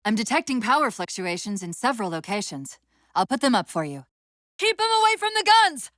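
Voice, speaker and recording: A woman playing a brisk, wry, urgent military AI companion in a video game, giving crisp commands and tactical briefings. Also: a little high, very animated, a bit bright, no echo, studio quality. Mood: urgent, alarmed.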